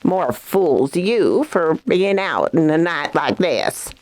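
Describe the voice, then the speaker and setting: Woman playing a slow, hostile mad old crone. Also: sits low, expressive, a little mellow, exaggerated voice. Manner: curt, unwelcoming. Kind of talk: radio drama acting.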